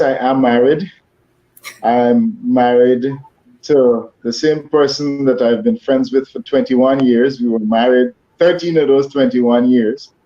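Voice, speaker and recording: A man's voice: mid-range voice, warm tone. Guy on a panel, easygoing and jokey, muffled call sound. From Guyana. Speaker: panelist, music professor. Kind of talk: casual introduction.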